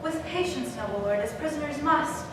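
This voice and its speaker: A woman's voice: sits high, animated, normal speed. A woman playing a proud, newly freed courtly lord in a formal Shakespearean staged reading. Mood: courtly, defiant. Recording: echoing noisy hall, distant mic.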